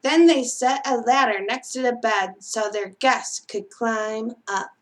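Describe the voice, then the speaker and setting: A woman reads a children's tale aloud in a fairly high voice. She narrates in the style of a library storytime, telling the story as written. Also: takes it slowly.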